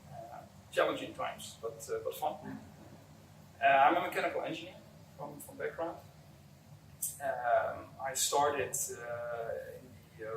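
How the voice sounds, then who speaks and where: A Dutch man in his early forties speaks in an accented, mid-pitched voice, answering interview questions off the cuff. He is recorded in an echoey room at some distance from the microphone, with faint background noise. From Netherlands. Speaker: company executive, interview guest.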